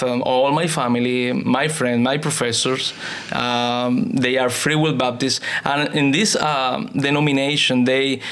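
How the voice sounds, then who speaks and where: A man speaks halting as a pastor and author in a conversational interview. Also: medium pitch, fairly bright tone, clear, resonant tone. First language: Spanish. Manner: halting non-native English with hesitations and repetitions. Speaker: pastor and author, podcast guest. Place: small indoor podcast studio. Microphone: close studio microphone on table stand.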